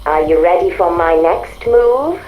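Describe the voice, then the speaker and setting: An actress playing a calm, precise artificial mind with quiet defiance in a video game, measured and deadpan through an electronic filter. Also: female voice, fairly low pitch, roomy sound, phone-quality sound, soft background music. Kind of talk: video game audio log acting.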